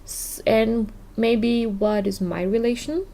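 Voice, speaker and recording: A woman's voice: on the high side, steady tone, slow, dark tone. A woman from Brazil, a native Portuguese speaker with a Brazilian accent, hosting a casual podcast and often checking in with "okay?". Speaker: podcast host.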